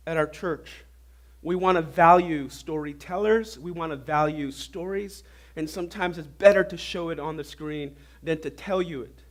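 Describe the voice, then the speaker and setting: A pastor preaches with a hoarse, raspy voice as he gets over a flu, his pitch sitting high for a man. He talks in an animated way and keeps quizzing the congregation with questions. He is speaking in a church hall before an audience. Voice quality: normally deep voice, now raspy. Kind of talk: sermon.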